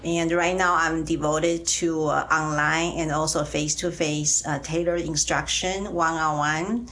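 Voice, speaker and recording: A middle-aged woman from Taiwan, speaking as a guest in an interview, with frequent uh and um pauses. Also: deep voice, fairly even intonation, fairly bright tone. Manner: hesitant, many uh and um pauses. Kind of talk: faith-sharing interview.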